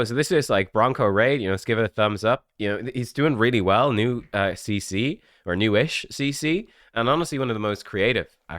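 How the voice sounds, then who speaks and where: A man with a stuffy, slightly rough voice from a cold, giving a chatty, rambling breakdown of a game event as a gaming channel host. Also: fairly low pitch, fairly bright tone, no echo, clean studio sound. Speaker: gaming YouTuber. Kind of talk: casual game commentary.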